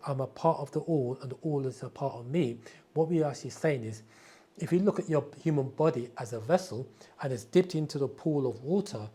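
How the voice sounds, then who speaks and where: A man's voice: medium pitch, warm tone, husky. A man hosting a live spiritual question and answer session, explaining ideas patiently and repeating key phrases.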